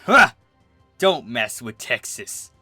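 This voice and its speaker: A man voicing a huge, proud father bull who leads his herd, indignant and booming in a storybook reading. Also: big pitch swings, slow, sharp tone, no room sound, soft background music, exaggerated voice. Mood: blustering challenge. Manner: booming, blustering.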